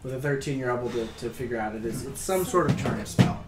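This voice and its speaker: A man running a tabletop game as dungeon master, narrating attacks in a casual, halting way with plenty of ums. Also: mid-range voice, animated. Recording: roomy basement with music under.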